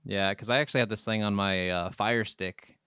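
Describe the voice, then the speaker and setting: A man's voice: lowish voice, fairly even intonation, moderate pace, pushed and bright, clear, resonant tone, dry recording, clean studio sound. A man co-hosting a gaming podcast, chatting in an easygoing, slangy way. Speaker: podcast co-host. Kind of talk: casual gaming podcast chat.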